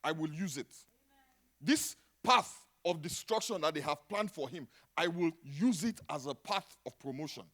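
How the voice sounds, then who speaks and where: A middle-aged Nigerian man preaching a sermon, dropping to a hush and then rising to loud exclamations. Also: on the high side, expressive, normal speed. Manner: dramatic, swings from hushed to shouting. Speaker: pastor.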